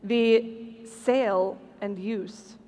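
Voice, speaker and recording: A woman's voice: medium pitch, fairly even intonation, extremely slow, dark tone. A woman co-hosting a live stage show, presenting trivia and stories to an audience. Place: large convention hall stage, over PA. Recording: hall reverb, faint music, live stage.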